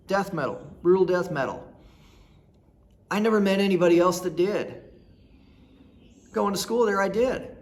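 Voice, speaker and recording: A man in his thirties from rural Nebraska, telling personal stories in a blunt, folksy, rambling way. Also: high voice. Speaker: storyteller host. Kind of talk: casual personal storytelling.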